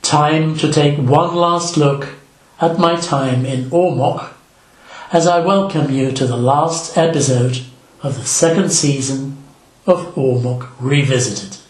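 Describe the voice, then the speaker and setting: An older man from Belgium, narrating his travel vlog in a slow, measured, reflective voice-over. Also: on the high side, roomy sound, low-quality recording. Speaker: vlogger.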